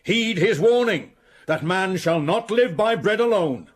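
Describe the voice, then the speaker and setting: An actor playing a stern, sanctimonious middle-aged school patron in a radio drama, preaching at the pupils. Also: male voice, sits high, animated, unhurried.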